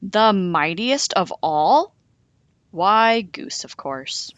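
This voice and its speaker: A woman reading a children's story aloud in a dramatic, animated way with deliberate pauses. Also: medium pitch, no room sound, lo-fi sound.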